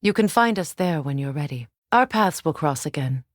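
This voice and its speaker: A woman playing a regal, guarded warrior goddess in a video game, speaking in a measured, solemn, dry-witted way. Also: low-pitched, expressive, dry recording. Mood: guarded, watchful. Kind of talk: dramatic video game voice acting.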